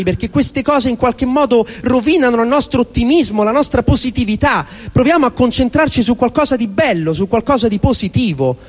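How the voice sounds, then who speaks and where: A man with a Roman accent performing a rambling, exasperated comic monologue as if talking to himself. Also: very high-pitched. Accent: Roman Italian. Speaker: stage performer. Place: live performance venue. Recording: live venue with long reverberation.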